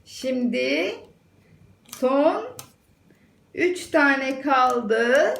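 A woman's voice: high-pitched, lively intonation, very slow, creaky. A mother chatting casually with her child, encouraging and instructing while narrating an activity for a video. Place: indoors at home. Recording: echoey room, music playing. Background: music and a young child talking.

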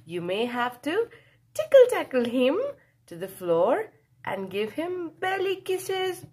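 A woman storyteller reads a picture book to young children in a high, playful voice. She takes her time, stretching key words for emphasis. Her delivery swings widely and expressively from line to line. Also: very animated, slow pace, a little mellow, slight background noise. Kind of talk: animated picture-book read-aloud for children.

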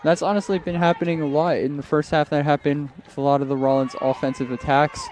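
A young man doing live play-by-play for a night soccer game outdoors, his voice strained, getting louder on attacks and correcting himself often. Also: a little high, fairly even intonation, fast talker. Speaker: play-by-play commentator. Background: crowd noise.

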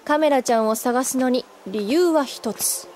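A woman's voice: sits high, a little slow, darkish tone, slight background noise. An actress playing a breezy, teasing older schoolgirl with a playful, mock-pompous way of talking in an anime audio drama.

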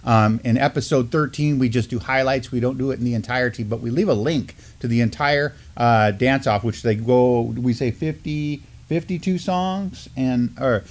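A man's voice: medium pitch, moderate pace, few pauses. A middle-aged man with an American accent hosting a community news show in a casual, chatty style. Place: indoor studio, seated at a desk.

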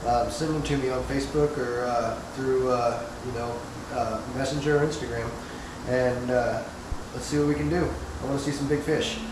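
A man's voice: mid-range voice, steady tone, moderate pace, few pauses. A man in his thirties, a fishing channel host, giving a casual step-by-step demonstration with lots of "uh" fillers, recorded by a camera several feet away in a small bait room. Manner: easygoing, many "uh" fillers, step-by-step. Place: small indoor bait room, hard walls. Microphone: wide camera several feet away. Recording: roomy echo, clear background hum.

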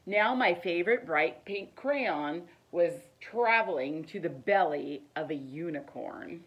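A middle-aged woman reads a children's picture book aloud in an expressive, deliberate storytelling manner. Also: fairly low pitch, lo-fi sound. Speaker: teacher reading aloud. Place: living room, indoors.

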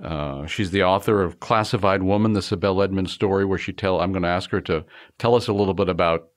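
An older man hosts an interview show, his voice sitting a little low. He introduces his guest and frames long questions, pausing with a filler between phrases. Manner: warm introductions with frequent "uh" pauses. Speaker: show host. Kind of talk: interview host.

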